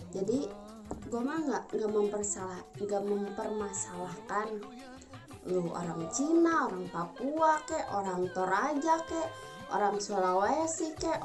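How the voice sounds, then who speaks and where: A 21-year-old woman from Bandung with a Sundanese Indonesian accent, talking casually and emphatically to her viewers in slangy speech. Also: fairly high pitch. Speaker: vlogger. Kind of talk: casual talk to camera. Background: music.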